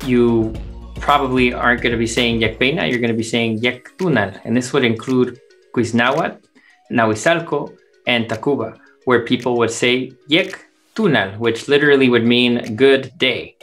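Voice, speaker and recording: A man's voice: mid-range voice, moderate pace, a bit bright. A man teaching in a scripted language lesson, explaining words and their meanings step by step. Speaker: teacher, translator. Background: music.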